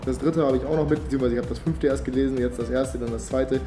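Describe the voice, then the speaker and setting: A man's voice: medium pitch, steady tone, quick pace, over music. A young man chatting casually to the camera in an upbeat, slangy way, with a slight cold. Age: young adult. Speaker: vlogger. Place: outdoors on residential streets and indoors in a living room. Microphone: phone or camera at arm's length.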